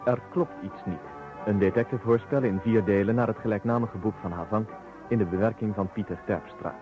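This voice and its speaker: A man's voice: lowish voice, brisk, music in the background. A man announcing a radio play in a formal, scripted style. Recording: muffled, narrow-band vintage radio recording.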